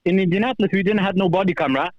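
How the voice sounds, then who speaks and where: Afghan accent guy calling in, pretty high voice, muffled lofi audio, earnest, telling his own story. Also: rapid-fire, no echo. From Kabul, Afghanistan. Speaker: call-in guest, former police officer. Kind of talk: personal account in conversation.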